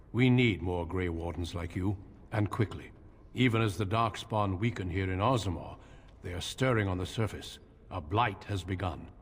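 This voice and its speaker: Man acting a grave, deep-voiced veteran warrior. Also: close to an everyday voice. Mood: courteous, earnest. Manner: formal, measured. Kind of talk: video game voice acting. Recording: reverberant hall, noisy game ambience.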